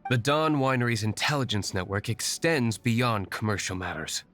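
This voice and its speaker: A man's voice: lowish voice, lively intonation, normal speed, fairly bright tone. A man playing a cool, aloof young nobleman hero in a video game, composed, formal and matter-of-fact. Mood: cool, dismissive. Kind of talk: video game character acting. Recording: studio voice over game music.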